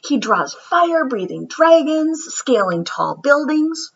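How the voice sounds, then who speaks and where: A woman reading a picture book aloud to young children in an explanatory, expressive teacher's style. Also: high-pitched, slow pace, vocal fry, lo-fi sound. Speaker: read-aloud host, teacher.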